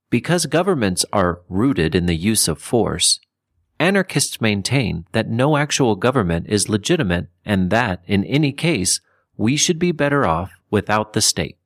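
A man reading scripted nonfiction for an audiobook, with deliberate phrasing. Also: fairly low pitch, no room sound, studio quality. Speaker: audiobook narrator.

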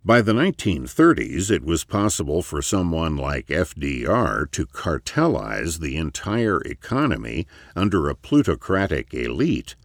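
A man reading a scripted essay as narration, in measured, deliberate phrases with emphatic stresses. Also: extremely low pitch, vocal fry, no echo, studio quality.

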